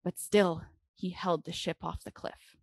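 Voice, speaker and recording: A woman reading a children's storybook aloud dramatically, with deliberate phrasing and expressive pauses. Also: lowish voice, creaky, dry recording.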